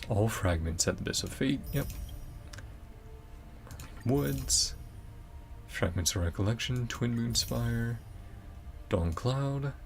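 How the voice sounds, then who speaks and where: A man's voice: low voice, vocal fry. A man doing casual livestream commentary on a game, rambling and thinking aloud as he goes. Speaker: game streamer. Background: game music.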